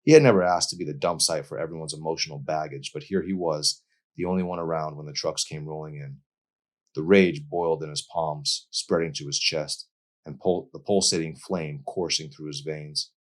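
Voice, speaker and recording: A man's voice: rumbling low, fairly even intonation, moderate pace. A man reading his own novel aloud as dramatic audiobook narration.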